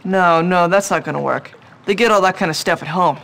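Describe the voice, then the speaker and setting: Eager, high-pitched teenage sidekick. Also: male voice, brisk, gravelly, a bit bright. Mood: advising. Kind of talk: video game cutscene acting.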